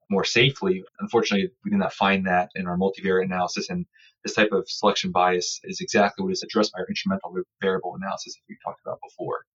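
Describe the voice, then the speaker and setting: A man's voice: low-pitched, gravelly, fairly bright tone. A man giving a formal, precise, prepared-sounding answer as an interview guest, on a slightly dull recording. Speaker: interview guest, surgical researcher.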